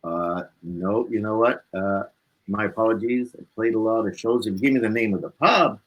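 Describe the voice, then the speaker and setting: A man's voice: lowish voice, moderate pace, dull audio. A man speaking as a musician guest in a casual interview, telling stories in a rambling, easygoing way. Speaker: musician guest on a talk show.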